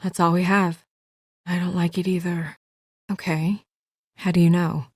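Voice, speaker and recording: A woman voice actor playing an earnest, composed young woman official in a video game, speaking in a measured, serious, restrained way. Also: lowish voice, little pitch movement, slightly rough, no echo, clean studio sound. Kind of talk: naturalistic video game dialogue.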